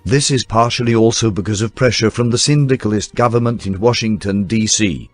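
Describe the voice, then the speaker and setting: A man reading scripted newsreel documentary narration in a formal, measured announcer style. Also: fairly low pitch, no room sound. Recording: dry voice-over with faint music bed. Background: faint background music.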